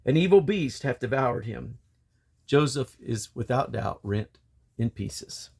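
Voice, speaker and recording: A man reading the Bible aloud as narration, with deliberate phrasing. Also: medium pitch, expressive, gravelly, a little mellow, husky. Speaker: Bible reader. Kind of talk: read-aloud scripture narration.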